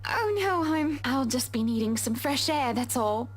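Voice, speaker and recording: A refined, composed woman from a visual novel game, as voiced by a woman. Her speech is formal and courteous. Her voice sits high. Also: expressive, a little slow, slightly rough, soft background music, full character voice. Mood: gracious.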